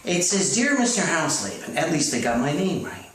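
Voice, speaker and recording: A man's voice: a little high, very animated, a little fast, fairly bright tone. A man rambling through comedic advice as a host, hesitant and folksy, reading out letters. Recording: echoey, distant mic with faint noise.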